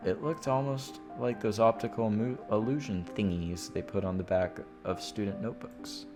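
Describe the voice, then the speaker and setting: A man's voice: lowish voice, steady tone, moderate pace, music in the background. A man streaming a visual novel, reading the narration aloud with informal, casual asides.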